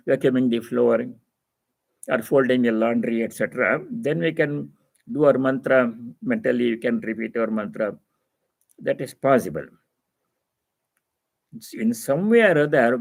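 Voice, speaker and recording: Man giving a measured spiritual discourse. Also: medium pitch, creaky, darkish tone. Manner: didactic, repeats phrases for emphasis. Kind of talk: spiritual discourse, reading and explaining scripture.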